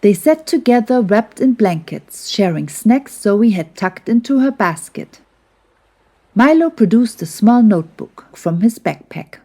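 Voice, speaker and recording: A woman reads a children's bedtime story in a gentle, unhurried way. Her voice is fairly high and mellow with a soft husk to it, and her delivery is soothing and tender. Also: expressive.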